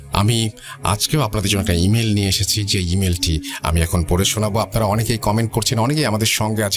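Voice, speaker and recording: A man with a Bangladeshi accent, hosting a show and reading out listeners' ghost stories. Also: low-pitched. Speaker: host of a ghost story show. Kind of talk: reading listeners' emailed horror stories aloud. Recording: voice over background music. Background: music.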